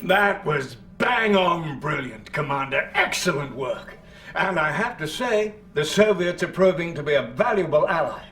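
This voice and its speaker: Excitable British field marshal, high-pitched, swinging delivery, exasperated officer type for game cutscenes. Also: male voice, measured pace, distant mic. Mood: pleased. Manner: animated, exclamatory. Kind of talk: acted video game cutscene dialogue. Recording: roomy, off-mic, echoing.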